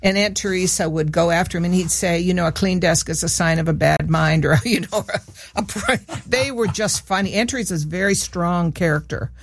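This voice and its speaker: A woman's voice: on the low side, a little fast, slight background noise. An older woman from Peru, a radio show guest, chatting casually and laughing as she reminisces, often restarting her sentences.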